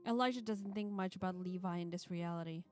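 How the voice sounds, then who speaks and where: A woman's voice: lowish voice, a little fast, a little mellow. Woman streamer reading aloud over music. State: tired. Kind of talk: live-stream read-aloud and casual banter. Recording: music behind the voice.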